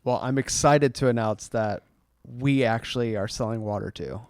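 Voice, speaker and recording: A man on a casual podcast, delivering dry jokes in a mock-promotional tone. His voice is smooth and resonant, at an ordinary middle pitch. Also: moderate pace, dry recording. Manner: wry, plugging merch. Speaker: podcast co-host. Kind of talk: casual chat.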